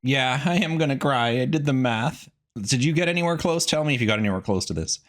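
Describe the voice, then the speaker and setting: A man's voice: mid-range voice, expressive, fast talker, soft background music. A man giving casual, chatty gameplay commentary, rambling in asides to viewers as a video host.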